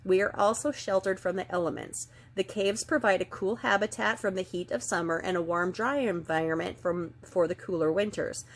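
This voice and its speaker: A middle-aged woman with a slightly stuffed-up, nasal voice, gently and earnestly giving an oracle card reading and reading passages aloud. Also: medium pitch, normal speed, hardly any pauses. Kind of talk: casual spiritual card reading.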